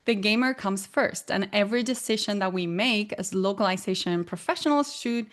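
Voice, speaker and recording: A woman in her forties with an Argentine Spanish accent gives a presentation. She explains things in a clear, measured way, and her voice sits at an ordinary middle pitch. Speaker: guest presenter. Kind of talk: presenting a talk.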